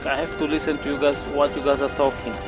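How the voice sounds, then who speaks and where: A man's voice: a little high, level tone, fast. A man casually commenting on a game he is playing, chatting to his viewers while coming down with flu. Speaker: gameplay channel host. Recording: game music under voice.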